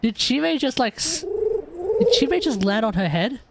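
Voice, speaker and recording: A woman's voice: high voice, very animated, normal speed, heavy background noise. A woman doing casual live commentary as she plays through a game. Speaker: game streamer. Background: game music.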